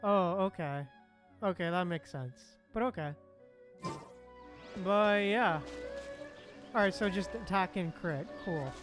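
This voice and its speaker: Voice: medium pitch, slow, long pauses. A livestream host chatting casually while gaming, often repeating phrases in reply to chat. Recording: music playing behind the voice.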